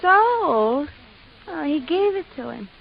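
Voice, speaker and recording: Brassy, high-voiced tipsy blonde, old radio. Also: female voice, sing-song, slow, creaky, heavily acted. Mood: tipsy, chatty. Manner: slangy, brassy, loose-tongued. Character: tipsy, gullible gangster's wife, brash dumb blonde. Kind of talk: 1950s radio acting. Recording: muffled vintage radio recording.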